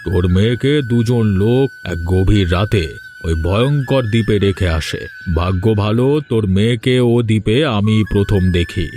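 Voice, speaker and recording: A man voicing a calm, commanding holy man in a Bengali cartoon. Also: lowish voice, normal speed, lightly acted. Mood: calm, solemn. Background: background music.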